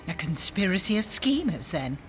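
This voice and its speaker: An actress playing a bitter, haughty aristocratic dowager, older, with an imperious and cutting formal manner in an audio drama. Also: female voice, on the low side, big pitch swings, normal speed, soft background music. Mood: bitter, accusing.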